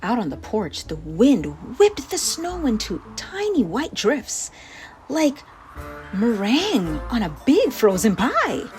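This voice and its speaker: Female children's read-aloud host, slightly high voice, unhurried, playful emphasis, music underneath. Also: huge pitch swings, darkish tone, poor audio. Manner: playful, exaggerated emphasis on descriptive words. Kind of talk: animated children's storybook narration. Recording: music playing behind the voice.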